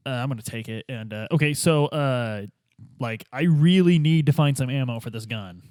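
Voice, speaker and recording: A man's voice: medium pitch, moderate pace, darkish tone, clear, resonant tone, dry recording. A man improvising as a detective in a tabletop game, casual, hesitant and slangy. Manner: hesitant, slangy, laid-back. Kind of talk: casual improvised role-play.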